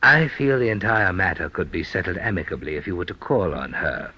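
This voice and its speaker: An actor in an old-time radio detective drama playing a shifty, smooth-talking fake mind-reader and blackmailer with a husky voice. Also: male voice, deep voice, sing-song, brisk, full character voice. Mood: smooth, persuasive. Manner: formal, oily phrasing that slips into slang. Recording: dull, lo-fi old radio recording.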